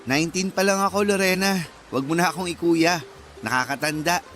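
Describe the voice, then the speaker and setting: A man's voice: on the high side, brisk, a bit bright, soft background music, close to an everyday voice. A man playing a friendly, generous nineteen-year-old eatery helper in a Filipino radio drama, speaking politely and casually. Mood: friendly, kind.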